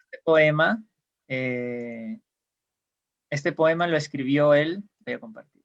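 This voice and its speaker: A man's voice: a little high, takes it slowly, lo-fi sound. A man from Peru, a guest explaining ideas in casual conversation, hesitant with many fillers and restarts, heard over a video call.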